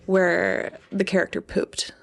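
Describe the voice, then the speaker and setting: A woman's voice: medium pitch, completely flat delivery, slow pace, creaky. A young woman co-hosting a podcast in casual chat, informal and peppering her speech with "like". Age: young adult. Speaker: podcast co-host.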